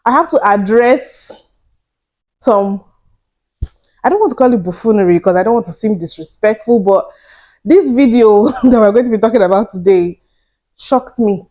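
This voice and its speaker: A young woman vlogger giving casual, animated commentary straight to her viewers, often incredulous. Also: medium pitch, moderate pace, long pauses. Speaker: reaction vlogger.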